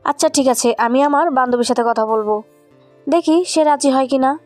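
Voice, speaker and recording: Woman playing a high-voiced, feisty schoolgirl. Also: normal speed, a little mellow, faint music behind, natural voice. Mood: calmer. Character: feisty schoolgirl, stern and scolding, quick to soften. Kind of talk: audio story dramatization.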